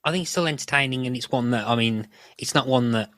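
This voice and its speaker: A man's voice: mid-range voice, fast, a bit bright, dry recording, studio quality. A man co-hosting a podcast in casual discussion, rambling and often correcting himself as he goes. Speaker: podcast co-host.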